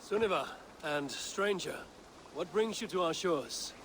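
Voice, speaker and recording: An actor playing a stern, commanding Norse clan leader in a video game, speaking with blunt control. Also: male voice, on the high side, animated, measured pace, a bit bright, a little hiss or hum. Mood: calm authority.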